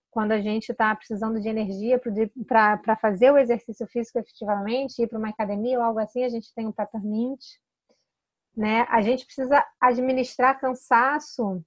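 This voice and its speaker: A woman's voice: fairly high pitch, no room sound, muffled recording. A Brazilian woman consultant explaining how to use products, chatty and persuasive, often adding tag questions and restarting sentences. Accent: Brazilian Portuguese. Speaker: essential oils consultant teaching product use. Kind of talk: informal explanatory talk to camera.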